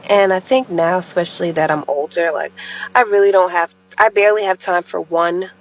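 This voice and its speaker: A woman's voice: fairly low pitch, brisk, rough recording. A woman talking casually to camera, hesitant and trailing off. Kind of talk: casual video confessional.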